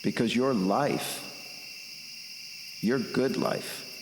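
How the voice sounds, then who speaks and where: A man delivering an intense, blunt, reflective personal monologue with deliberate pauses. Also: medium pitch, noisy background. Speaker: podcast host and musician. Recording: roomy echo, long reverb, music underneath.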